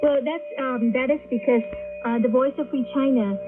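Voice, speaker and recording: A woman's voice: sits high, normal speed. A woman working as a radio host, announcing and chatting with a co-host. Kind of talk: radio announcing and co-hosted chat. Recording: tinny shortwave radio recording with music.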